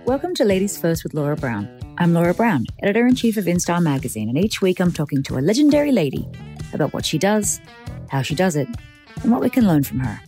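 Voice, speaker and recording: Animated, teasing woman podcast host. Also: fairly low pitch, fast, faint music behind. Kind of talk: interview podcast.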